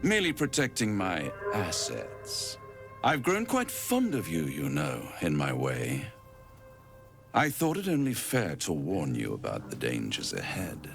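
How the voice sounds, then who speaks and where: Game villain: urbane infernal schemer, slow and grandly theatrical, with animated swoops and quiet menace. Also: male voice, very deep voice, gravelly, over music, heavily acted. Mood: sly, theatrical. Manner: theatrical, silky, verse-quoting, grandiloquent. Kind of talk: video game dramatic acting.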